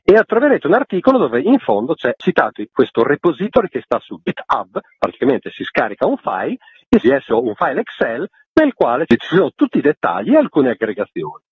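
Male interview guest, very rough husky voice with animated range, explaining in detail over a narrow telephone-like connection. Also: a little high, fairly quick, no echo. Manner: explanatory, long detailed sentences. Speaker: interviewed spokesman of a secular association. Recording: muffled phone-like line.

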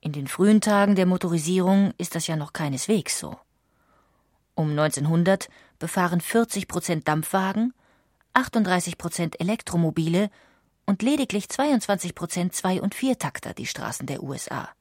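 A woman reads scripted documentary narration, articulating clearly as she explains. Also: on the low side, expressive, normal speed, darkish tone, dry recording, clean studio sound.

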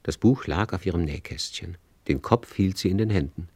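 A man narrating a literary radio play in a measured, sober storytelling manner. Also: deep voice, a little mellow, husky, dry recording, clean studio sound.

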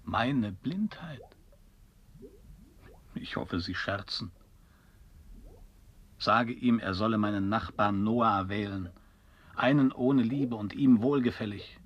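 An actor playing a stubborn, wordy seafarer in a German radio play, speaking in a dry, formal, pedantic manner. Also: male voice, fairly low pitch, slow pace, long pauses, slightly rough, muffled recording. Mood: defiant. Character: stubborn, wordy male old seafarer wearing a borrowed head.

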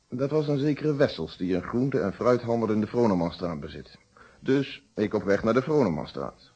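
An actor playing a steady, reflective police inspector recounting his case in a Dutch radio drama, with a Netherlands accent and measured, polite delivery. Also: lowish voice, husky, dull audio. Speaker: actor in a detective radio play.

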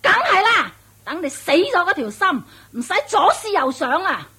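A woman's voice: very high voice, sing-song, pushed and bright, exaggerated voice. A woman playing a shrewish, domineering and vengeful witch in a radio drama, nagging and taunting in Hong Kong Cantonese. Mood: demanding. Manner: nagging, taunting, drawn-out emphatic delivery. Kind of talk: theatrical radio drama acting.

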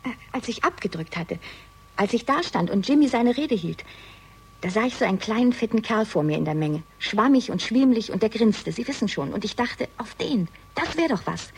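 A spirited, tough young-spoken woman with a husky yet fairly high voice. She is teasing, blunt and cheeky, in 1960s German radio crime drama style. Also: animated, moderate pace, heavily acted. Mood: excited, musing. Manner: teasing, frank.

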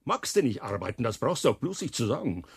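A man's voice: medium pitch, sing-song, quick pace, no echo, clean studio sound, exaggerated voice. An actor playing a coarse but kindly foreman in a radio drama, speaking folksy Silesian German dialect. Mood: gruff, goading. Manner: folksy, gruff dialect talk.

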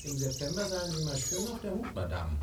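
Over clear background noise, a pushy village café owner, a man whose pitch swoops widely. He is insistent and mock-polite, faintly menacing, in radio drama style. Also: wildly swooping pitch, fairly quick. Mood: probing, veiled threat. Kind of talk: German radio drama acting.

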